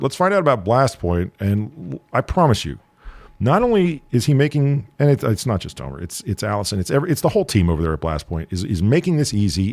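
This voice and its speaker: A middle-aged American man with a lowish voice hosts his podcast in a loose, joking way and often pokes fun at himself. The sound is dry and close, with no room around it. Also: a little mellow, dry recording. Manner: jokey, self-deprecating, chatty. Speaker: podcast host. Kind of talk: casual interview.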